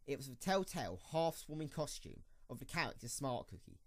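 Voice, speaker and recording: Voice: medium pitch, sing-song, brisk, no echo, clean studio sound. A narrator reading scripted storybook-style narration for an amateur audio drama.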